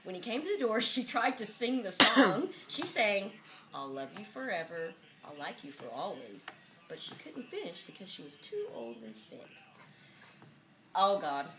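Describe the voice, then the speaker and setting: A woman's voice: mid-range voice, very animated, normal speed, background noise. A woman giving a mock-dramatic reading of a children's picture book, with jokey, sardonic, giggly asides, on an amateur home video.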